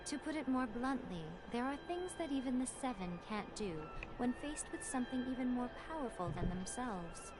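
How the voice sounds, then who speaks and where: A woman's voice: a little high, lively intonation, normal speed, few pauses, music in the background, lightly acted. A woman playing a sly teasing priestess. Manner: amused, knowing, teasing. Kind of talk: game voice acting.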